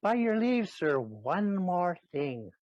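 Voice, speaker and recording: A man's voice: sits high, sing-song, slow, no echo, full character voice. A man playing a sentimental, cheeky servant clown in a Shakespearean audio play. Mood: eager. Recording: dull, dry lo-fi recording.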